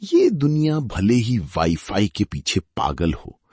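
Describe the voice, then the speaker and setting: Man playing a husky, swooping old grandfather. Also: fairly low pitch, wildly swooping pitch, slow, mellow, no echo, heavily acted. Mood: romantic, playful. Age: older. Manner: flirtatious, theatrical. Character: romantic, musical old grandfather, playful and old-fashioned.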